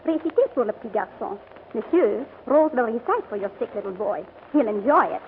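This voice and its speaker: Kindly French woman an actress, reassuring, expressive melodic range, 1940s radio play, tinny recording. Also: fairly high pitch, brisk, lightly acted. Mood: bright, coaxing. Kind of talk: 1940s radio drama acting. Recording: tinny old radio recording, faint noise.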